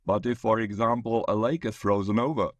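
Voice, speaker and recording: Man acting elderly gentleman, short story character, polite, curious, questioning, dry recording. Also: lowish voice, fairly even intonation, brisk, dry recording, natural voice. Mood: curious, impressed.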